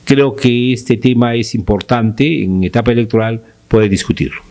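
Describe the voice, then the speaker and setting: A man's voice: medium pitch, normal speed, a little mellow. A man from Peru debating on a talk panel, pressing his point with rhetorical questions.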